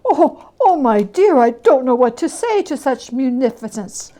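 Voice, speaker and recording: A woman voicing a portly, kindly old gentleman in a storybook reading, amazed and effusive in a gasping manner. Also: high-pitched, sing-song, a little slow, voice pushed up. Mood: astonished, moved.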